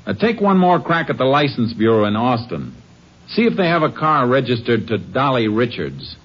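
An actor playing a calm, laconic American Texas Ranger in a fifties radio western, businesslike in dialogue and narration. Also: male voice, medium pitch, moderate pace, husky. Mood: brisk, businesslike. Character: steady, laconic Texas Ranger lawman, male. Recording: muffled 1950s radio recording.

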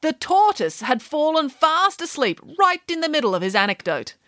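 A woman's voice: high-pitched, sing-song, moderate pace, pushed and bright, no room sound, clean studio sound, voice pushed up, heavily acted. A woman voicing a glamorous, boastful pig nanny in an audiobook, grandly and emphatically explaining nonsense as fact. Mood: grand storytelling. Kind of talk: audiobook character voice.

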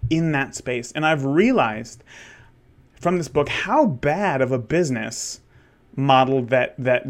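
Candid thirty-year-old man rambling. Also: mid-range voice. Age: 30s. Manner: candid and rambling, with frequent restarts and fillers. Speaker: wedding photographer and podcast host. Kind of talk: casual solo monologue.

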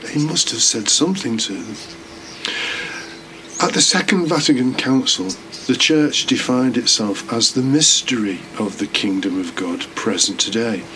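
A man's voice: medium pitch, expressive, a little slow, bright, forceful tone, low-quality recording. Man giving a reflection over background noise. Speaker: podcast host giving a religious reflection. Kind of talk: scripted sermon-like reflection with sporting analogies.

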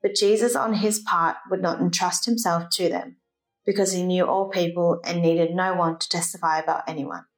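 A woman's voice: fairly low pitch, steady tone, moderate pace, faint music behind. A woman giving a formal liturgical reading of scripture. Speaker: scripture reader.

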